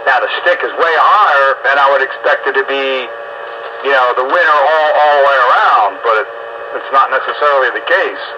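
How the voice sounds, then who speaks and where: A man's voice: medium pitch, brisk, dull audio. A man chatting casually as an amateur radio operator, blunt and exasperated, puzzling aloud over a band-limited radio link. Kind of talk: casual on-air radio chat. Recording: band-limited radio transmission, loud static noise.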